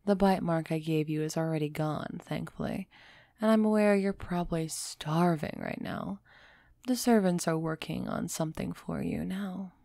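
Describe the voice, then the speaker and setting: A woman playing an aloof, aristocratic vampire who is softening toward a human, in a measured, musing audio roleplay performance. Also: fairly low pitch, vocal fry, no room sound, studio quality. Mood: cool concern. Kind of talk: scripted audio roleplay acting, close and soft.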